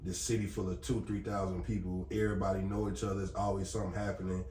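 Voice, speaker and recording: A man's voice: sits low, little pitch movement, quick pace, dark tone, slight background noise. A young man from Indiana, a podcast guest who runs a security company, telling his story in casual, profane chat. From Gary, Indiana, US. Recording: echoey room, mic at a distance.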